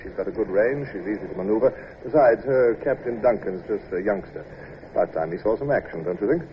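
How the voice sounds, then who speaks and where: A man's voice: fairly low pitch, expressive, brisk, close to an everyday voice. Casting: male actor for blustering British sea commander, clipped orders and impatient authority, period radio drama, muffled and slightly noisy. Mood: decisive. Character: brisk, imperious British naval commodore, blustering yet self-doubting. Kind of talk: old-time radio drama acting. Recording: dull, noisy old radio recording.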